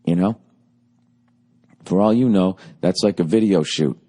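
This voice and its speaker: A man in his forties with a Boston accent, ranting sarcastically and profanely in a rambling comic monologue, his voice going a little hoarse. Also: low voice, a little slow, mellow. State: voice getting hoarse. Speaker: podcast host.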